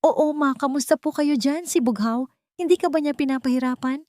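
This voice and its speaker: A woman's voice: sits high, fairly quick, warm tone, dry recording, studio quality, pitched above the performer's own voice, natural voice. A woman voicing a caring adult son who talks affectionately and playfully on a phone call in a story. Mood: teasing concern. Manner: affectionate, lightly teasing.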